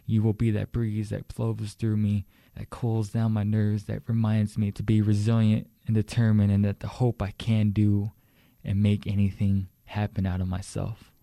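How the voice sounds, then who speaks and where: Man, spoken word poet, flat and gravelly, solemn delivery. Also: on the low side, moderate pace, few pauses, no room sound, studio quality.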